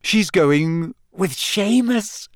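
A girlish teenage schoolgirl, put on by a man reading a storybook. She sounds keen, her pitch moving widely, in a dry recording. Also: animated, extremely slow, no room sound, heavily acted. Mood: coy.

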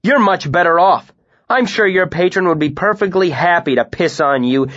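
Man playing a proud young man, shouting, sharp, high strained voice, muffled recording. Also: expressive, moderate pace. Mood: furious. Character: hot-tempered clever young man, proud.

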